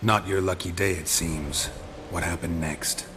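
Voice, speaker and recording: Very low, deadpan monster hunter. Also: male voice, unhurried, noisy background. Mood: deadpan, businesslike. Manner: terse, deadpan. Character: stoic, dry, world-weary monster hunter for hire. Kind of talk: video game voice acting.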